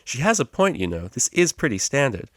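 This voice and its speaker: A man's voice: medium pitch, sing-song, fairly quick, a bit bright, no room sound, studio quality, voice pushed up. Man putting on an exuberant, lifted-voice pony tutor. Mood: playfully chiding. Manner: boisterous, jovial, encouraging. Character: exuberant, cheery male pony psychology tutor.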